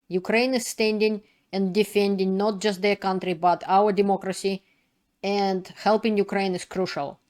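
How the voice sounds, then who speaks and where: Woman with a Russian accent commenting. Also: mid-range voice. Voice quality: non-native grammar, halting phrasing with restarts. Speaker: video channel host. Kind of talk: casual commentary to camera.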